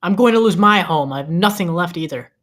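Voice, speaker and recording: A man playing a defeated, weary ordinary guy in a screenplay table read, speaking in a flat, resigned way. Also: high-pitched, fairly quick. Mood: defeated, frustrated.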